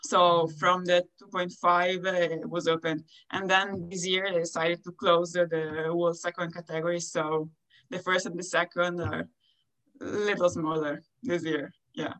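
Low-pitched young Italian woman, halting English. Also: little pitch movement, a bit bright, poor audio. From Italy. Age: young adult. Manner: halting, searching for words. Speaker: college tennis player being interviewed. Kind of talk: interview answers.

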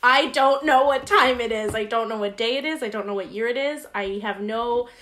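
A woman's voice: fairly high pitch, moderate pace, a bit bright. A woman in her twenties, co-hosting a casual chat and telling self-deprecating stories with frequent giggles. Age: 20s.

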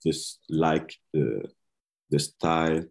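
A man, a guest dancer, talking hesitantly with many pauses and fillers. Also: extremely low pitch, creaky. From France, born in Ivory Coast.

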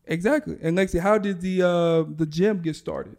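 Playful high-voiced man. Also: normal speed, darkish tone. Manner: playful, teasing interjections. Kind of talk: casual chat.

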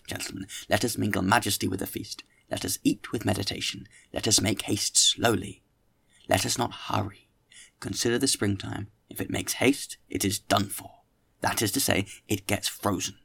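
A man's voice: on the low side, creaky, a bit bright, husky, exaggerated voice. A man voicing a pompous, tipsy young orator who preaches grandly at a dinner in an audiobook. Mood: pompous admonishing.

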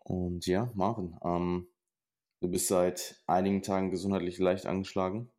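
Male podcast host and coach, very low monotone voice, hesitant and explanatory. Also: normal speed. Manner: hesitant, many fillers, explanatory. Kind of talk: casual podcast chat over a video call. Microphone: remote call setup.

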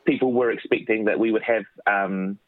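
A man's voice: fairly low pitch, steady tone, normal speed, dry recording. A man, a children's musician, chatting casually in an interview with many restarts, from his home office. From New Zealand. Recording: dry phone-like line.

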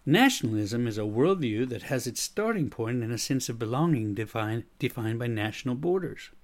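Middle-aged male presenter, American accent with a slight Swedish tinge, mid-pitched. Measured, instructive solo talk. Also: lively intonation. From United States. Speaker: podcast host, speaker and consultant. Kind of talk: prepared explanatory monologue.

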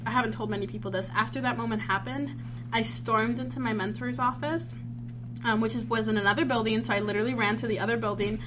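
A young woman guest telling a personal story in an earnest, reflective, conversational way. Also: mid-range voice. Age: young adult. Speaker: guest, sociology student. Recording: noisy recording with clear hum.

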